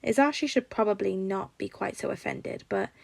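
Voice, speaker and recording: Creaky-voiced woman narrating fiction. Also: medium pitch, normal speed, no room sound. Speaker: podfic narrator.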